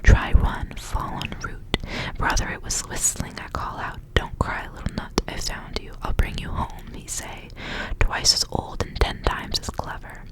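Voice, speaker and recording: A woman's voice: measured pace, low-quality recording. Whispering young woman reading ASMR. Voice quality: breathy, unvoiced whisper. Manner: soft, intimate narration with casual asides. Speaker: ASMR reader.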